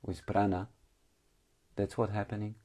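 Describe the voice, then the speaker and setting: A man with a deep, dark and relaxed voice leads a guided meditation. He gives calm instructions in short phrases, leaving long gaps between them. His English phrasing is slightly non-native. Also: unhurried. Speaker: meditation teacher.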